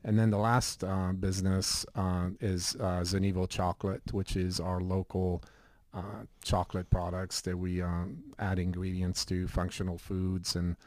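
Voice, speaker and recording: A middle-aged man from Switzerland, a health shop owner talking as a guest in a casual interview, relaxed and rambling with many fillers. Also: sits low, unhurried, no room sound.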